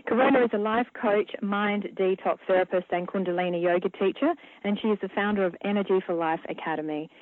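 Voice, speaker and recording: Aussie woman hosting radio interview, polite, dry thin phone-like audio, medium pitch. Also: quick pace, hardly any pauses, dry recording. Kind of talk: formal guest introduction and interview. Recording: phone-like narrow radio sound.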